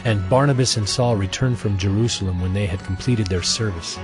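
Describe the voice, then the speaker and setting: A man giving a scripted, solemn and measured narration for an audio drama of scripture. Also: lowish voice, over music.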